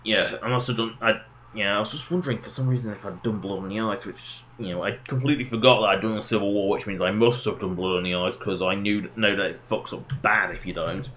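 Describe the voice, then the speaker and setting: A man's voice: lowish voice, steady tone, fast, fairly bright tone, slight background noise. A man doing casual live game commentary, rambling and self-deprecating as he chats to his viewers. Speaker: game livestreamer.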